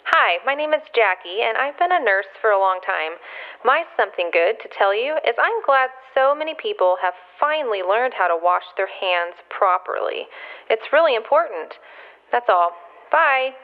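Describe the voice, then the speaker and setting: Casting: woman as practical, earnest nurse caller for radio play, slightly high voice, narrow telephone sound. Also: lively intonation, normal speed. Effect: telephone filter. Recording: thin telephone caller line.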